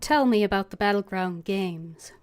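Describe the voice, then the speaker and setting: A woman's voice: medium pitch, normal speed, a little mellow. A woman gaming streamer commenting live, reading dialogue aloud and musing as she plays.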